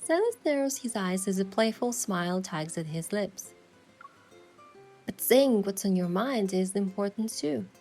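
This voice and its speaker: A woman playing a sincere, easily flustered young heroine, narrating and speaking in a romance story with soft expression. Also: mid-range voice, animated, measured pace. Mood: earnest. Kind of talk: first-person narration and dialogue in a romance story. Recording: music behind the voice.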